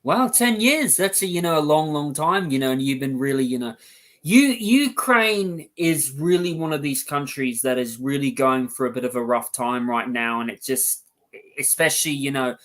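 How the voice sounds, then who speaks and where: A man hosts a podcast interview in a mid-pitched voice. He rambles through his questions and keeps filling the gaps with "you know". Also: animated, moderate pace, a bit bright. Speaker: podcast host. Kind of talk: casual interview talk.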